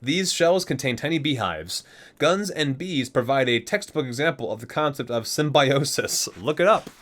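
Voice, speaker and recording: Male ASMR reader, medium pitch, lively intonation, expressive recitation with offhand asides. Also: normal speed. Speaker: video creator reading aloud from a game guide book. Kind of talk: ASMR reading with casual asides.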